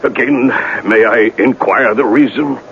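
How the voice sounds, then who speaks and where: An actor in a 1970s radio drama playing a pompous, hot-tempered, jealous fiancé with formal, indignant speech. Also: male voice, mid-range voice, unhurried, vocal fry. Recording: muffled, noisy 1970s radio recording.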